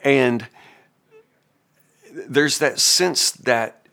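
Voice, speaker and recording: Folksy fifty-something preacher. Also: male voice, medium pitch, drawn-out words, gravelly, pushed and bright. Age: 50s. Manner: folksy, conversational, many fillers and restarts. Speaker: pastor. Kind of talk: devotional Bible reflection.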